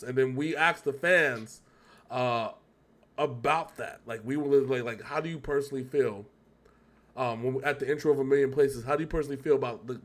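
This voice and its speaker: An American man hosting a reaction show in casual, slangy, rambling chat. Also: mid-range voice.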